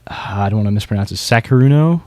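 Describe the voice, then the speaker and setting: Man, podcast host, low voice, dry close sound, casual discussion, informal delivery. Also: animated, quick pace, warm tone, no room sound. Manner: informal, running through rankings and opinions. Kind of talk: casual discussion of beatbox competitors.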